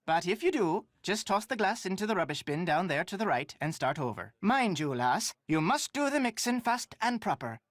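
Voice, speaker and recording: An actor playing a brisk, folksy Irish barkeep in a video game, giving polite instructions. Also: male voice, sits high, very animated, a bit bright, no room sound, clean studio sound. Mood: brisk, instructive. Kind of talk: video game character dialogue.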